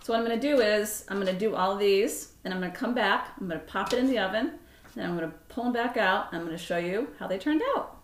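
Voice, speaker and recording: A middle-aged woman giving a relaxed, chatty cooking demonstration in her home kitchen, talking through each step as she mixes. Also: medium pitch, quick pace. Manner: relaxed, chatty step-by-step instructions while mixing. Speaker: cooking instructor. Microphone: fixed camera across the counter. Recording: echoey kitchen with clattering dishes.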